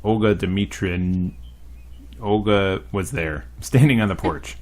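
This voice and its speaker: A man's voice: low voice, measured pace, a little mellow. A young man reading a visual novel aloud as a let's play host, with dry, joking asides.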